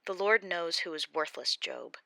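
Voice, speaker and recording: Lady voicing zophar, cold judgy man, condemning tone, bright voice. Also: fairly low pitch, animated, normal speed, gravelly, no echo, heavily acted. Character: cold judgmental male accuser Zophar.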